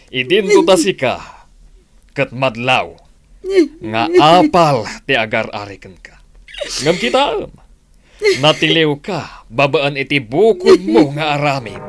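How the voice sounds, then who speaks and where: An actor playing a stern, reproachful office boss who scolds deliberately in a radio drama. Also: male voice, a little high, heavily acted. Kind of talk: Filipino radio drama acting in Ilocano.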